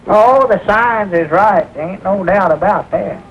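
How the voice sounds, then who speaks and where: A mild rustic sidekick, high-pitched and folksy, with a rural Southern American accent. He sounds simple and agreeable, on a dull old comedy film soundtrack. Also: a little slow, fairly bright tone, a little hiss or hum. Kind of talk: 1940s film comedy acting.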